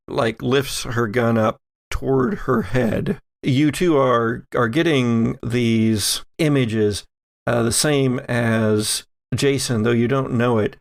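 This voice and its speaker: A man acting as game master, unfolding improvised horror scenes at a slow pace with a gravelly creak. He pauses for effect and feels his way through phrases, recorded close and dry. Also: medium pitch, dry recording.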